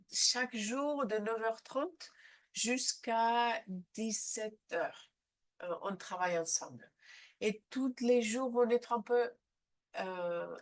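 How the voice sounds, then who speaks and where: Woman with a cold, trainer presenting a seminar schedule, slow unhurried delivery, mid pitch, halting. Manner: hesitant, frequent filler sounds. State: has a head cold. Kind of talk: live online training session.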